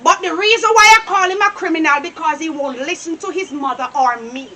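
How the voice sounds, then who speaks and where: A woman playing a fiery, devout Jamaican grandmother in a drama, scolding loudly and repeating her questions. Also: very high voice, brisk. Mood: stern, exasperated. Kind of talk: acted comedy drama dialogue. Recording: distant mic with some background noise.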